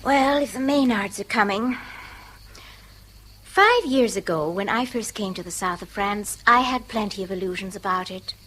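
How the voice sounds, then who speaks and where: A sharp-tongued, impatient wealthy wife, animated. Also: female voice, medium pitch, moderate pace, poor audio. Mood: composed. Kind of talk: British stage-style radio drama acting.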